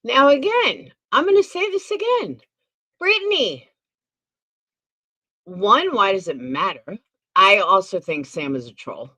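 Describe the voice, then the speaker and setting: A woman hosts a livestream in a sarcastic, emphatic way. She speaks slowly, and her pitch swings widely as she presses her points. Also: sing-song, sharp tone. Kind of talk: livestream commentary.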